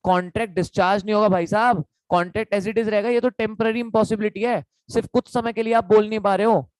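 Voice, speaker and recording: Hoarse young Indian man, chatty tutor. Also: high voice, steady tone, fast talker, dry recording, low-quality recording. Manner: chatty, repetitive, calls students beta. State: hoarse, sore throat. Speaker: online law tutor. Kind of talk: live online lecture.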